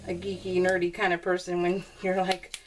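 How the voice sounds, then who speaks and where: A woman's voice: lowish voice, normal speed, slight background noise. Chatty woman, slightly distant mic. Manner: chatty, animated when retelling conversations. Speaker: crafting vlogger. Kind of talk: casual chat, rambling personal storytelling over a craft process video. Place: indoors at a craft table.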